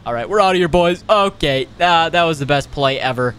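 A young man doing casual, excitable roleplay commentary over a video game, animated and slangy with frequent exclamations. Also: fairly high pitch, a little fast, slight background noise. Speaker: gaming video host.

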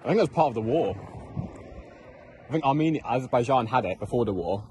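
A young man chatting on a road trip, calmly explaining the plan and chiming in with his travel partner. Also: medium pitch, fast, a little hiss or hum. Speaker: travel companion. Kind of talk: casual travel chat. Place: outdoors and in cars. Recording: music playing underneath.

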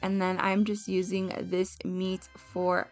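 A woman's voice: lowish voice, flat delivery, slow pace. A young woman narrating a home cooking and decorating video in a friendly, chatty voice-over, speaking directly to her viewers. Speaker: home vlogger. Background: soft background music.

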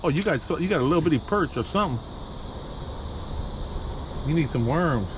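A man's voice: on the high side, lively intonation, a little fast. A man with a South Louisiana accent joking and teasing as kids fish from a small boat outdoors. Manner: teasing, playful banter. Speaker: adult on a family fishing trip. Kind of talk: casual outdoor chat. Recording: noisy outdoor recording.

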